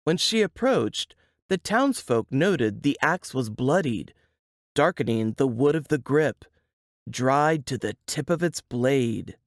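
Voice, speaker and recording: A woman reading a suspense novel aloud in a dramatic, deliberate storytelling manner. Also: very deep voice, lively intonation, no echo, studio quality. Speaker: author reading from their novel.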